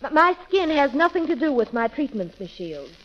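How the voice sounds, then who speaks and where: A woman's voice: high voice, sing-song, moderate pace. An actress playing a timid, earnest young beauty-shop girl in an apologetic, hesitant 1930s radio romance style. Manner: apologetic, hesitant, breathless exclamations. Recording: muffled, crackling 1930s radio recording.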